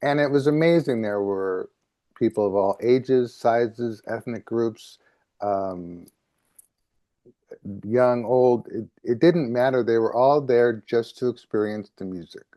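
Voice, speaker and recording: A man's voice: medium pitch, slow pace, mellow, no room sound. A man hosting a casual interview, speaking in a hesitant, rambling way.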